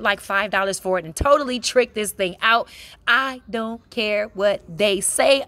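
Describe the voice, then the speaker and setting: A woman's voice: high-pitched, bright, forceful tone. A middle-aged American woman giving a casual craft tutorial voice-over in a chatty, playful, informal way. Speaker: craft vlogger.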